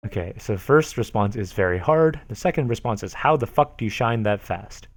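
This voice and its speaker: Streamer, male, lively and mocking, dry close mic. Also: fairly low pitch, a little fast, dry recording. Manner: mocking, animated, laughs often. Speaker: streamer reading forum posts aloud. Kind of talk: casual dramatic reading with commentary.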